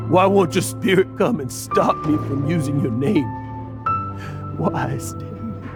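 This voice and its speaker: An aging adventurer, boastful, stammering, guilt-ridden, slow-paced, lively pitch, game music behind. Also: male voice. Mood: mournful. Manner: stammering and boastful, then mournful. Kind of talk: video game voice acting.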